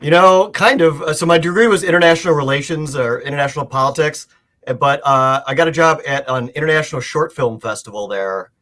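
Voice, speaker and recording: Bright-voiced American man, chatting casually. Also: on the high side, a little fast. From Wisconsin, United States. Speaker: guest on a live show. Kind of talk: casual chat.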